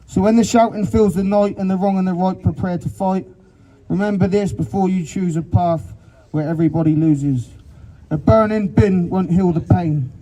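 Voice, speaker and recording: A man's voice: high voice, low-quality recording. Man reciting a rhyming protest poem. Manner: steady rhythmic recitation.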